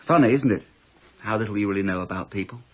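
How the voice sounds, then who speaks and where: A worried, defensive Englishman acted by a man with a lowish, very husky voice. He speaks hesitantly in a radio crime drama, on a muffled recording. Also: fast, lightly acted. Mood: anxious. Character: concerned, guarded male office colleague of missing woman. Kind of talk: 1990s British radio drama acting.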